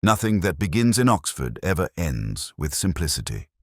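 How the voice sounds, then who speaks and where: A man reading first-person mystery narration in a measured, brooding, literary manner. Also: low voice, sing-song, no echo, clean studio sound.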